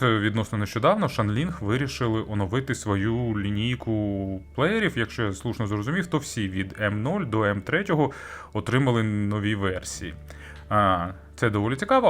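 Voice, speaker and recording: A man giving a conversational, explanatory product review as host, often pausing mid-sentence to find his words. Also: lowish voice, a bit bright. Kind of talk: semi-scripted product review talk.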